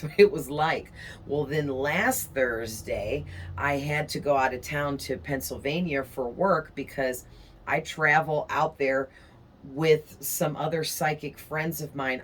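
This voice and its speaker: A woman with a raspy, worn-out voice that sounds hoarse from overuse. She talks to her audience in a rambling, friendly way as a tarot reader, often checking in with "you know" and "okay?" There is a faint bit of room noise behind her. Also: very deep voice. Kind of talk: casual chatty monologue to viewers.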